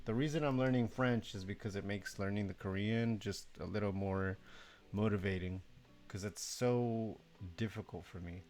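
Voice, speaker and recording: A man's voice: lowish voice, moderate pace, a little mellow, soft background music. A young man from Mexico chatting casually on a live stream, sleepy, rambling and self-deprecating, swearing now and then.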